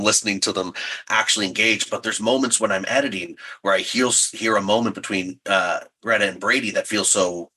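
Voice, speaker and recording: A low-voiced man with a raspy grain speaks as a podcast guest. He is thoughtful and earnest, reflecting in an unscripted, conversational way. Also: bright, forceful tone. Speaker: audio drama writer and editor, guest. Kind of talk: reflective interview chat.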